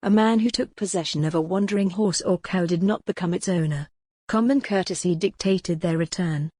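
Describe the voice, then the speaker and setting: Female audiobook narrator, lowish pitch, measured dramatic storytelling, very dry recording. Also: mellow, no room sound. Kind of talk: scripted dramatic fiction narration.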